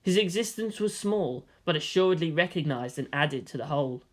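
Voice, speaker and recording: A theatrical storyteller reads scripted fiction with great expression. The pitch moves in a lively, animated way, giving the prose a dramatic shape. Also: a little fast. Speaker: audiobook-style narrator of fan fiction.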